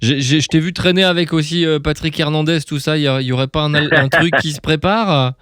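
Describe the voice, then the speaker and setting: A man's voice: on the high side, steady tone, fast talker, a bit bright, clear, resonant tone, no room sound, clean studio sound. A man hosting a casual radio interview, putting informal questions to a guest and rephrasing them as he goes.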